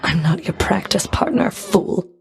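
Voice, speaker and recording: Stern underworld fury lady for a video game, lowish gravelly voice, cold and clipped, super disdainful. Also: expressive, a little slow, full character voice. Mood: scornful. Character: stern, scornful female Fury, underworld enforcer. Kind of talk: video game combat and dialogue voice lines.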